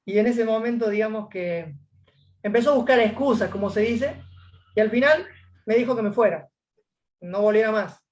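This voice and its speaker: A man's voice: high-pitched, moderate pace, long pauses, a little mellow. A man from Córdoba with an Argentine accent, telling his story as a guest in a casual interview, hesitating with many fillers. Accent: Argentine Spanish.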